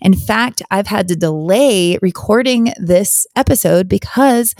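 A hoarse woman hosting a podcast, talking in a candid, chatty, rambling way on her own. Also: medium pitch, unhurried, a little mellow, no room sound. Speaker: podcast host. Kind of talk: casual solo podcast chat.

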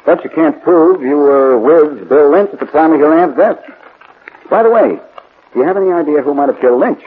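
Male actor, quick-witted detective man, wry, animated intonation, snappy 1940s radio drama style, muffled old recording. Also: on the high side, slight background noise, close to an everyday voice. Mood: skeptical, pointed. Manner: brisk, wry, snappy delivery.

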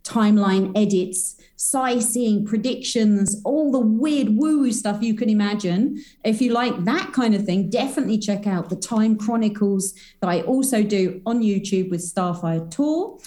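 A woman's voice: mid-range voice, measured pace, hardly any pauses, mellow. A woman, a guest on a podcast, chatting in a bubbly, rambling way full of spiritual talk. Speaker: podcast guest, energy healer. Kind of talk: casual podcast chat.

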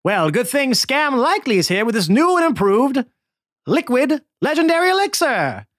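A man's voice: very high voice, very animated, moderate pace, a bit bright, no echo, studio quality, pitched above the performer's own voice, full character voice. A man voicing a showy, pushy salesman hawking an elixir with theatrical, wheedling patter in a tabletop game. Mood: showy pitching.

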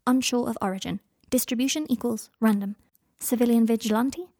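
A woman reading aloud a letter in the voice of a hurried, excitable young witch who writes in clipped notes. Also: fairly high pitch, no room sound, clean studio sound. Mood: brisk. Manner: rushed, clipped note-taking.

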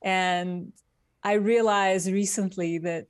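A woman from Turkey, a guest designer, speaking in a thoughtful, unhurried way in a casual interview over a remote call. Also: medium pitch, steady tone, no echo, clean studio sound.

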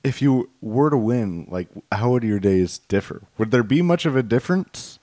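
A man hosting an improvised comedy podcast, talking quickly with bursts of laughter and mock alarm. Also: medium pitch, animated, warm tone, no echo. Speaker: podcast host.

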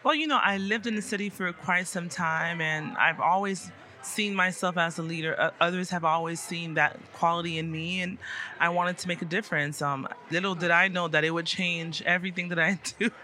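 Very bright-voiced young woman being interviewed. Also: on the low side, fairly even intonation, a little fast. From South Florida, United States. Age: young adult. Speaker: interview guest, city commissioner and small business owner. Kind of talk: conversational interview answers. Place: conference venue at a hotel. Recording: faint background noise on location.

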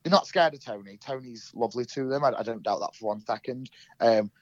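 A man's voice: medium pitch, brisk, dry recording, muffled recording. A young man from England with a cold, sounding nasal, telling his story in an interview with many ums and restarts. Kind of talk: casual recounting in an interview.

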